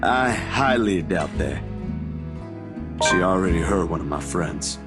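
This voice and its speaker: A man voicing a weary, gentle, ordinary guy in a video game, hesitant and conversational. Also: low voice, lively intonation, slow. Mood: weary, troubled. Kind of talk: video game character dialogue. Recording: music behind.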